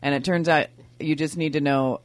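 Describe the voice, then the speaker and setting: Low-voiced woman in her forties. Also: steady tone, normal speed, no room sound. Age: 40s. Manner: modest, self-deprecating, chatty. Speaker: band singer, guest.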